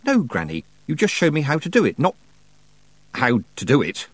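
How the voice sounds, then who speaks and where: A man's voice: fairly high pitch, wildly swooping pitch, moderate pace, husky, no echo, pitched above the performer's own voice, exaggerated voice. A man voicing an earnest, persistent young girl apprentice witch in an audiobook, polite but stubborn and questioning. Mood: curious, insistent. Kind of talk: audiobook character voice.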